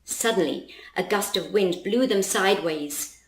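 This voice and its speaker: A woman reading a children's story aloud as an expressive storyteller. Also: medium pitch, unhurried, slight background noise. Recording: roomy, echoing voice recording.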